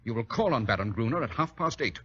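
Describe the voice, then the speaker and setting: A cool, commanding British gentleman detective. The voice is sharp and a little rough, with dry teasing and brisk assurance. It sounds like a muffled old radio broadcast. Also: mid-range voice, expressive. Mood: brisk, instructing. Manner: crisp, assured, dryly teasing. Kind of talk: 1940s radio drama acting. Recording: muffled vintage radio recording.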